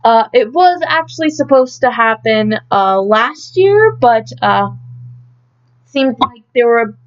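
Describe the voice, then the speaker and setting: A woman's voice: a little high, expressive, takes it slowly. A woman hosting an idol news update as a casual recap, chatty and a bit hesitant as she thinks aloud, on a slightly dull home recording.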